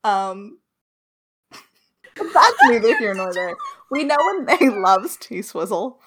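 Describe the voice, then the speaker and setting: Casual podcast co-host: woman, very expressive range, effusive and giggly, answering listener questions. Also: mid-range voice, slow pace, a little hiss or hum. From Alberta, Canada. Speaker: podcast co-host, audio drama creator.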